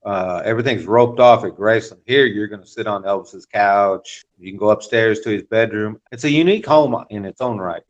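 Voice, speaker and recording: Male tour guide as interview guest, casual delivery, lowish pitch, lo-fi sound. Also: brisk, few pauses. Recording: dull lo-fi audio.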